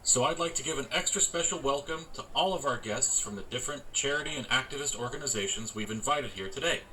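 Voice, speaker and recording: An actor playing a genial, folksy middle-aged man who emcees a community event in a measured public-speaking manner. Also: medium pitch, pushed and bright, a little hiss or hum, lightly acted, rough recording. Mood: genial, welcoming. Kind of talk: public welcome speech.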